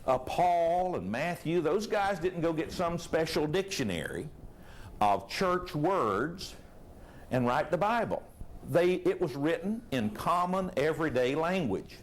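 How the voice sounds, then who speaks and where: A man preaching a sermon to a live congregation, folksy and animated, with a loud voice and frequent rhetorical questions. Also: a little high, a little slow.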